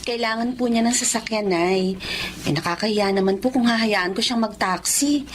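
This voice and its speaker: A woman's voice: a little high, moderate pace, lightly acted. A woman playing a sweet, trusting young wife in a Filipino drama, friendly and teasing. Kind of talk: Filipino radio-style drama acting. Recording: faint background noise.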